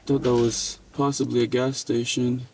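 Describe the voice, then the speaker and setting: A man's voice: mid-range voice, little pitch movement, normal speed, a little mellow. A young man from Puerto Rico, not a native English speaker, giving casual, hesitant and evasive answers as a driver questioned by police, on body camera audio. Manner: hesitant, hedging.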